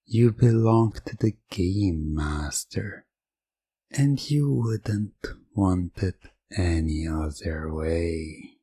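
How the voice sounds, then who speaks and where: A man playing a commanding, possessive hypnotist game master in a hypnosis roleplay, speaking deliberately and repeating himself hypnotically. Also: low-pitched, mellow, breathy edge, no room sound, studio quality, exaggerated voice. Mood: triumphant, possessive. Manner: slow, deliberate, dominating, hypnotic repetition.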